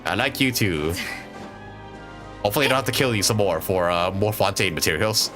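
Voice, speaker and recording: A man's voice: fairly low pitch, expressive, a little fast, a bit bright. A man live-streaming a game with casual, unscripted, sweary commentary, talking to himself as he plays. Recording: game music behind.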